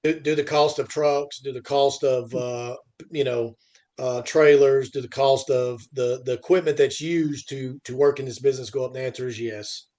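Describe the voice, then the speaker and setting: A man's voice: medium pitch, fairly even intonation, mellow. A man in an unscripted industry discussion, restarting phrases often and making points emphatically, posing questions and answering them himself. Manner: halting, repeats phrase starts. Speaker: podcast host and freight industry commentator.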